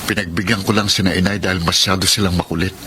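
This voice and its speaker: A man's voice: deep voice, fairly even intonation, fast, background noise, lightly acted. A man acting a lovesick, brooding young man in a radio romance drama, with a Filipino accent. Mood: sullen.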